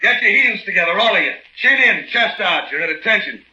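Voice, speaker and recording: A man playing a gruff American army sergeant in a 1940s radio drama, speaking in clipped, slangy soldier talk. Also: sits high, lively intonation, a little fast, pushed and bright, faint music behind, full character voice. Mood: stern, barking. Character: gruff, bullying army sergeant with a softer side. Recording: dull, band-limited 1940s radio recording.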